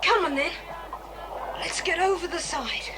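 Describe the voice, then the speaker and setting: Brisk, high-voiced boy adventurer. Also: music in the background. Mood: cautious, determined. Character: resolute, sensible boy adventurer leading the children. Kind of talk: dramatised children's adventure audiobook.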